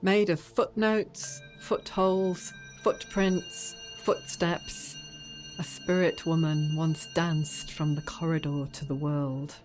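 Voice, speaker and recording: A woman's voice: low voice, steady tone, a little mellow, faint music behind, low-quality recording. A woman playing a solemn inhabitant who recites poetry phrase by phrase in a radio play. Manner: measured, listing phrase by phrase. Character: solemn female inhabitant narrating a migration corridor.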